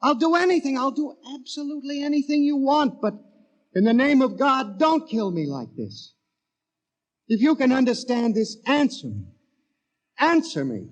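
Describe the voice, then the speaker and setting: A man's voice: extremely high pitch, big pitch swings, normal speed, no room sound. An actor playing a haunted, frantic man tormented by machines, with intense 1950s radio drama delivery. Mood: desperate pleading. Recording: dull vintage radio recording, music behind.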